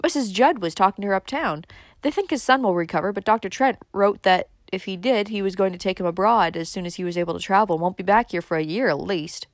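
A woman's voice: mid-range voice, fast talker, no echo, studio quality, close to an everyday voice. A woman voicing a fussy, meddling older female cousin in a storybook, nagging and easily alarmed. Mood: gossipy. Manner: nagging, exclamatory.

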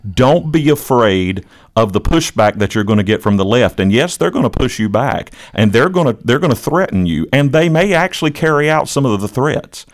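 A man's voice: medium pitch, big pitch swings, moderate pace. Man giving preachy, emphatic commentary. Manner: preachy, emphatic, repeats phrases for effect. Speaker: podcast host and longtime educator. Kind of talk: impassioned political commentary talk.

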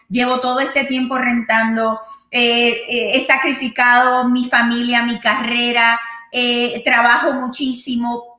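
A woman's voice: on the high side, sharp tone, roomy sound. A Puerto Rican woman hosting a live show as a real estate consultant, chatty, mixing in English and often checking in with "¿ok?". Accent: Puerto Rican Spanish. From Puerto Rico. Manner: chatty, switches into English, keeps asking "¿ok?". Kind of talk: live-streamed call-in style talk.

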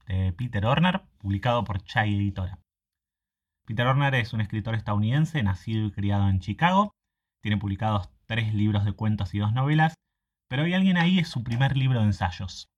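A man's voice: medium pitch, a little mellow, muffled recording. A man giving a prepared spoken presentation as a guest literary translator. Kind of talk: prepared monologue presenting a book.

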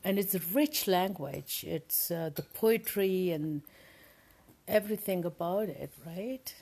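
Older woman, low husky voice, mellow tone, searching for words, casual home chat. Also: slow. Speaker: narrator's mother.

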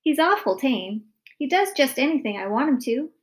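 An eager, chatty little storybook boy, voiced by a woman, proud and persistent. The voice sits high and bounces with animation. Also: big pitch swings, normal speed.

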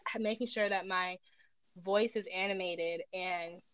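A woman's voice: mid-range voice, steady tone, a little slow, fairly bright tone, clean tone, no echo. A woman in a casual personal conversation, speaking candidly and reflectively as she feels her way through her phrasing.

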